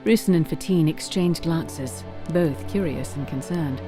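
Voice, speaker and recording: Fantasy drama narrator, woman, lower register, theatrical storytelling delivery over a clear musical bed. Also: a little slow, mellow, faint music behind.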